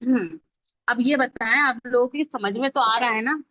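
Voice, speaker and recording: Woman online instructor, higher voice, informal step-by-step teaching with check-in questions; close dry sound, slightly muffled call quality. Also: normal speed, dry recording. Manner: instructional, checking understanding often. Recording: dull lo-fi online call audio.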